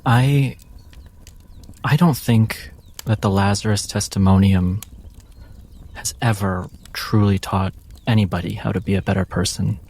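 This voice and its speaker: A man playing a gentle, grave former fighter in a tabletop game, speaking in a measured, reassuring way. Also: fairly low pitch, steady tone. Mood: grave, consoling.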